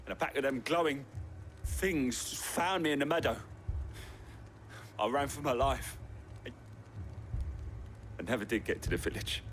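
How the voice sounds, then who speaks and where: Male pikeman voiced by an actor: medium pitch, shaken, humble, plain-spoken, fantasy game drama. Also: measured pace, pauses often, fairly bright tone, slight background noise, close to an everyday voice. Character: shaken common pikeman, humble survivor of monster attack. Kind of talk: video game dramatic acting.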